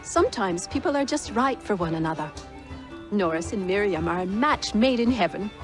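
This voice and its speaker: A woman playing a spirited, grateful settler wife in a video game cutscene, heartfelt and expressive. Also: medium pitch, moderate pace, over music, close to an everyday voice. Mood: cheerful.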